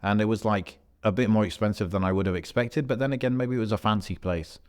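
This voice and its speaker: Low-voiced man chatting casually. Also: quick pace, clean tone. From Algeria. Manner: relaxed, rambling storytelling with slang and fillers. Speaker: podcast co-host. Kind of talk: casual podcast chat.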